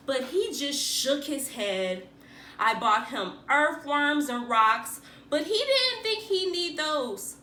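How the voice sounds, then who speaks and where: Lady teacher reading a kids book, super lively and slow, echoey room w a bit of noise. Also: high voice. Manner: animated, dramatic emphasis for young listeners. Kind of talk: expressive children's picture book read-aloud. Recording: roomy echo, faint background noise.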